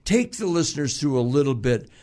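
A man's voice: mid-range voice, expressive, brisk, warm tone. A male radio host interviewing a guest, jokey and talkative, laughing often and asking long leading questions. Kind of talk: casual interview.

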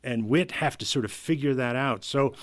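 A man of medium pitch talks as a guest in a panel conversation. He explains informally, in everyday conversational speech. The sound is dry and free of room echo. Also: moderate pace. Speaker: guest from an audio drama team. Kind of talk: casual panel discussion.

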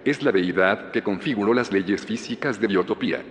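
An actor playing a calm, secretive male scientist who leads a hidden community, measured and evasive, in an audio drama. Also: fairly low pitch, natural voice. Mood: proud, solemn. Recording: reverberant cave with noisy ambience.

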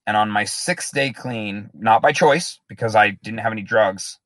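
A man's voice: on the low side, normal speed, fairly bright tone, no echo. Candid man telling his story, dry sound. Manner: candid, reflective, plain-spoken. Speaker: guest in recovery. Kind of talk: personal storytelling in an interview.

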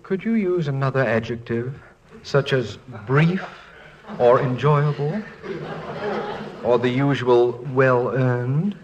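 A man's voice: medium pitch, slow, muffled recording, crowd noise, close to an everyday voice. A man playing a dignified, wryly humorous middle-aged American college president in 1940s radio comedy-drama style. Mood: wry, affectionate. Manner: pedantic, wordy, dryly humorous.

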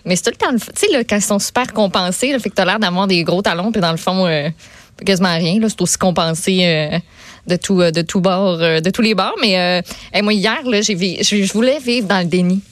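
A woman's voice: mid-range voice, a little fast, no echo. A woman with a Québécois accent co-hosting a radio show, telling stories in a casual, colloquial, self-deprecating way. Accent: Québécois French. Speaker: radio co-host.

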